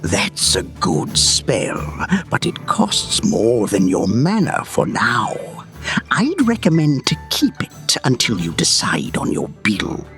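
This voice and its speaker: A performer voicing a slightly drunk male mentor with a husky, slurred voice, patiently explaining things. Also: mid-range voice, huge pitch swings, slow pace, fairly bright tone, soft background music, exaggerated voice. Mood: patient advising. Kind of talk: animated story dialogue.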